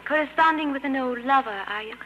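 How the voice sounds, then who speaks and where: A restless young upper-class English woman in a radio play. Her voice sits high, spirited and earnest. The vintage 1950s recording is noticeably noisy. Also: fast talker, a bit bright, muffled recording. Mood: teasing, curious. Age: young adult. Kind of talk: 1950s radio drama acting.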